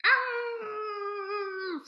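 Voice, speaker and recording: A woman voicing an insistent, wailing dog in a storybook read-aloud, with drawn-out howled cries. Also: extremely high pitch, fairly even intonation, reverberant, muffled recording, pitched above the performer's own voice, heavily acted. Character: loud, wailing, insistent dog howling outside church.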